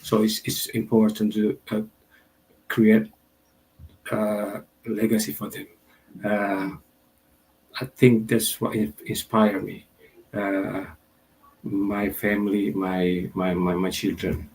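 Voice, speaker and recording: A man's voice: lowish voice, level tone, slow pace, pauses often, darkish tone, rough recording. A man answering as a guest on an online panel, hesitant with many fillers and restarts. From Indonesia.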